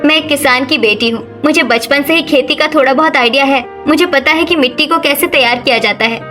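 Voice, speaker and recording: A woman's voice: high-pitched, a little fast, bright, forceful tone, soft background music. A woman playing a sensible, determined eldest daughter-in-law from a village in a cartoon story. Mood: earnest, practical.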